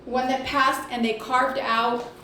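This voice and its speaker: Female executive, fairly high voice, halting board-meeting report, very echoey room with distant mic. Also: flat delivery, pushed and bright, a little hiss or hum. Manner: hesitant, halting delivery with long pauses. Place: indoor public meeting room.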